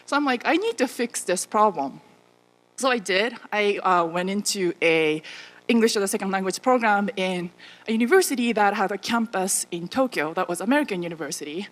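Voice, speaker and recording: In a large hall with long reverb, a woman with a Japanese accent speaks to an audience. Her voice sits at a medium pitch. She tells her story in a chatty, informal way, as a conference speaker on stage. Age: 30s. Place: large auditorium stage, indoors.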